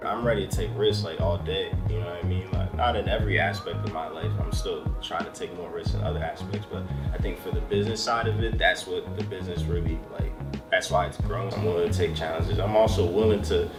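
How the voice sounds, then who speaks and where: A man's voice: low-pitched, fairly quick. A young man from Massachusetts, a small business consultant, talking in a casual, streetwise way in a profile interview. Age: young adult. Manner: casual, streetwise, animated self-talk. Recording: echoey room, distant mic, loud music bed. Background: loud background music.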